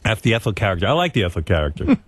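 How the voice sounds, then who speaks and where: A man's voice: lowish voice, very animated, racing, slight background noise. A man bantering casually as a show host, amused and repeating favorite lines.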